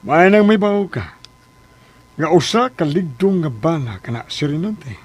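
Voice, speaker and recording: A man's voice: fairly high pitch, expressive, moderate pace, slightly rough. A man acting in a Philippine radio drama as an older father-in-law, questioning insistently in a Filipino accent and standing up for his son. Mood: firm, reproving.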